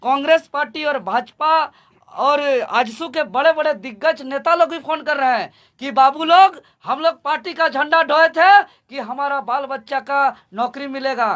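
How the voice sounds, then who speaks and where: A man's voice: extremely high pitch, moderate pace, a bit bright. A young man with a Jharkhand accent speaking as a student movement leader, making an impassioned political statement in an emphatic, repetitive, rallying manner.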